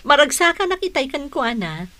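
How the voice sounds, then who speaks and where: An actress with an Ilocano accent playing a deferential, chatty household companion in a radio comedy drama. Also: female voice, high-pitched, sing-song, normal speed, pushed and bright, exaggerated voice. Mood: admiring, amused.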